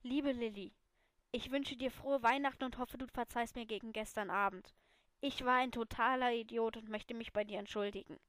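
A woman voicing a sheepish, apologetic teenage schoolboy in a story, halting and subdued. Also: a little high, fairly even intonation, fairly bright tone, dry recording, lightly acted.

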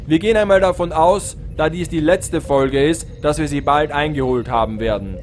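A man acts out a male starship captain for a comedic space radio play. His voice sits high and he is excitable and wisecracking. Soft music plays behind him. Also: moderate pace, lightly acted. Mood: pompous, businesslike. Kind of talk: comedic sci-fi audio drama.

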